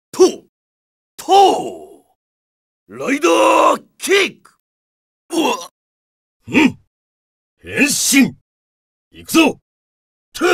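Fighting game hero, explosive shouted attack calls, high and very gravelly, fierce and hot-blooded. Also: male voice, heavily acted.

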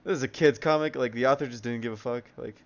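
A man's voice: medium pitch, fast talker, creaky. A man leading a casual group readthrough with friends, joking, teasing and swearing freely. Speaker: host leading a comic readthrough. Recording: dull lo-fi mic sound.

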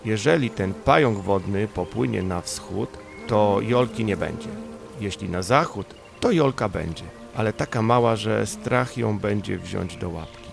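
A man's voice: on the low side, lively intonation, measured pace, creaky, full character voice. A man voices a young storybook troll boy. He muses to himself, earnest and full of hope. Music plays behind. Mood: hopeful musing. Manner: musing to himself. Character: earnest, hopeful young male troll child.

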